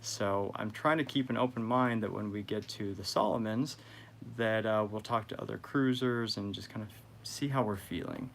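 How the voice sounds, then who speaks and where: A man with a fairly low voice gives a casual vlog update, musing and thinking aloud as he talks. Faint ambient noise sits behind him. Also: steady tone, moderate pace.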